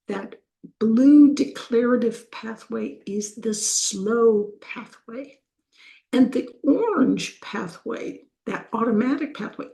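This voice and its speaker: Older woman, university lecture, gravelly, slow-paced, conversational, echoey hall. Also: fairly high pitch, warm tone. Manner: conversational, self-correcting, slows down to stress key terms. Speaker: professor giving a talk. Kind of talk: explanatory lecture with slides.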